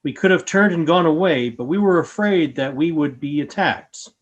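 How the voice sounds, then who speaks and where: Man acting a calm, higher-pitched alien creature. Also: close to an everyday voice. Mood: grave, measured. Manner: formal, measured. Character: calm, grave alien creature speaking of its peaceful species. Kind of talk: fan radio play acting.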